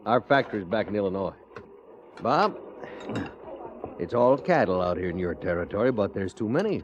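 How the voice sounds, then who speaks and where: A man's voice: on the low side, expressive, normal speed, lightly acted. An actor playing an opinionated, confident traveling salesman with an American accent, emphatic and blunt, in an old-time radio Western. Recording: muffled, noisy old radio recording.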